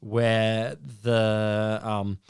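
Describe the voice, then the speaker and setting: A man's voice: lowish voice, completely flat delivery, drawn-out words, darkish tone, slight background noise. A middle-aged man co-hosting a podcast, reacting and questioning in casual, incredulous chat with broken-off sentences, close to the microphone. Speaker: podcast co-host. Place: small book-lined room, indoors. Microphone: close podcast microphone, headphones.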